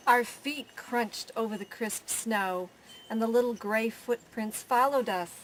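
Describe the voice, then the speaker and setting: A woman storyteller reading a children's picture book aloud, with measured phrasing, pauses and drawn-out words. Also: on the high side, a bit bright, rough recording. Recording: faint background noise.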